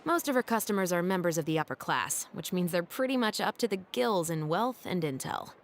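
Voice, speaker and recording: An actress playing a dutiful, wary patrol captain in a game, speaking in a dry, measured, skeptical way. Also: female voice, lowish voice, expressive, a little hiss or hum. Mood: explaining. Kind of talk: game cutscene voice acting. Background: game music.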